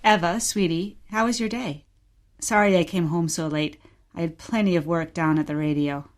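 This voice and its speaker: A woman acting a gentle, patient mother who tells stories of her childhood in a scripted radio play. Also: on the low side, steady tone, normal speed, close to an everyday voice. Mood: tender. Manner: patient, storytelling.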